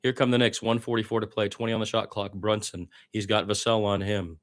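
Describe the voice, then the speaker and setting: Low-voiced man calling basketball play-by-play. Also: flat delivery, fairly quick, a little hiss or hum. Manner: partisan, exasperated fan asides. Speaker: amateur play-by-play commentator, team fan. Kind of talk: live radio-style basketball play-by-play commentary.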